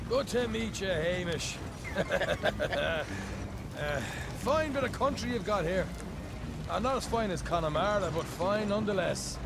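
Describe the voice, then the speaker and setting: An actor playing a chatty, cheeky young Irish outlaw in a video game, with a glib, rambling way of talking. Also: male voice, sits high, animated, unhurried, creaky, long pauses, noisy background, exaggerated voice. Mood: ingratiating, chatty. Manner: rambling, stammering, glib sweet-talk. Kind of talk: video game cutscene acting. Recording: music behind the voice.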